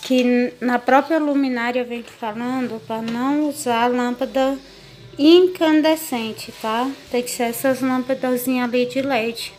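A woman's voice: a little high, steady tone, a little slow, darkish tone. A woman with a Brazilian accent chatting casually to viewers as a home vlogger, informally showing and explaining things as they go. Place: home kitchen, indoors. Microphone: handheld phone or camera, held by the speaker.

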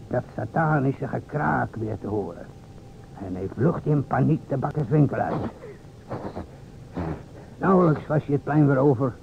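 An actor playing a solemn, theatrical investigator in an old radio drama, speaking formally and deliberately to a widow in a gravelly voice. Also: male voice. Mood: grave narration. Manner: formal, deliberate, dramatic storytelling with pointed pauses. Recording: vintage radio, narrow band, hiss and rumble.

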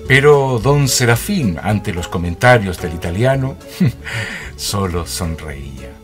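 An actor narrating a radio drama as a storyteller. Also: male voice, fairly low pitch, lively intonation, slow pace. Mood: storytelling. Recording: music behind the voice.

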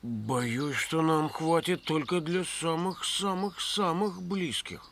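A man playing an earnest, gloomy, portly schoolboy in a cartoon, speaking solemnly and deliberately. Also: on the high side, gravelly, a bit bright, full character voice. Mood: apologetic. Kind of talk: cartoon voice acting.

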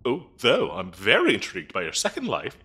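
A man's voice: mid-range voice, wildly swooping pitch, fairly quick, sharp tone, husky, full character voice. A man playing a fussy, bureaucratic demon interviewer in a comedic audio roleplay, officious and chatty with hesitant, dryly polite phrasing. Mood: intrigued. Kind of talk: comedic audio roleplay acting, addressing the listener.

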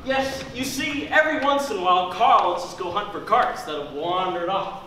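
A young man playing a boisterous, boastful store cart hunter in an amateur stage comedy, loud and theatrical. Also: high-pitched, moderate pace. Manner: loud, theatrical whooping and bragging. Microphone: distant camera. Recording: echoey stage recorded from far off, faint noise.

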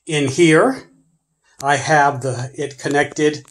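A man's voice: on the high side, slow pace, fairly bright tone. A man hosting a prepper channel, talking casually off camera as he unboxes gear on a porch and thinks aloud with loose restarts. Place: porch and yard, outdoors.

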